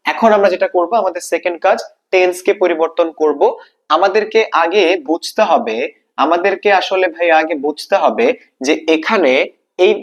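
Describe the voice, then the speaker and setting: A man with a Bangladeshi accent teaching an exam-prep grammar lesson, repeating key points and asking checking questions. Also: fairly high pitch.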